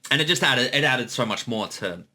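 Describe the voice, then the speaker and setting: A man's voice: medium pitch, animated, a little fast, pushed and bright. A man giving casual behind-the-scenes creator commentary, eagerly stammering and repeating words as he explains.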